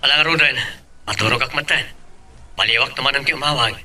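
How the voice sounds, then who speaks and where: A man's voice: medium pitch, fast talker, sharp tone. A man playing a supportive husband and father working abroad in an amateur Ilocano drama. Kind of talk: Ilocano radio-style family drama acting. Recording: distant mic, background noise.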